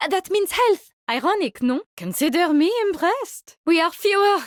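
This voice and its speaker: An eccentric French woman scientist for a video game. Her voice is high and swings widely in pitch, with a French accent. She is playful, theatrical and cheerfully morbid, recorded very dry and close. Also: expressive, normal speed, no room sound. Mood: encouraging. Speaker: video game character voice lines. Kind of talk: video game hero barks.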